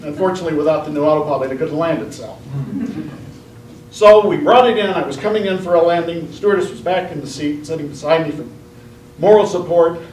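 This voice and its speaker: Higher-voiced male storyteller in a roomy space. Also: fast talker, darkish tone. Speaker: speech contestant telling a tall tale. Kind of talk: comic storytelling speech to a live audience. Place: indoor room at a speech contest. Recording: roomy, distant mic, faint noise.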